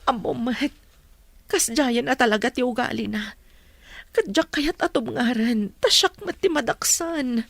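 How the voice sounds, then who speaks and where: A woman's voice: fairly high pitch, a little slow, creaky, no room sound. An actress playing an anguished, long-suffering young woman in an Ilocano radio drama, pleading and emotional. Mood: bitter. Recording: music bed behind the voice.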